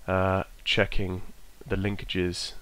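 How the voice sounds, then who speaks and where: A man with a bit of a cold, his voice croaky, casually talking himself through an aircraft checklist and trailing off as he goes. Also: low-pitched, monotone, slow pace, fairly bright tone. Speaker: flight sim streamer. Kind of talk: casual narrated walkthrough.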